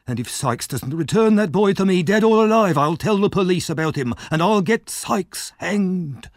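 A man voicing a greedy, sly old male fence in an audiobook, wheedling one moment and shrill with rage the next. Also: takes it slowly, darkish tone, no room sound, clean studio sound. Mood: threatening. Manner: wheedling, shrill when angry.

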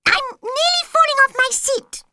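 A woman voicing a cross little male dormouse in a storybook, shrill and peevish. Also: normal speed, bright, forceful tone, dry recording, clean studio sound, heavily acted. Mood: complaining. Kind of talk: storybook character voice.

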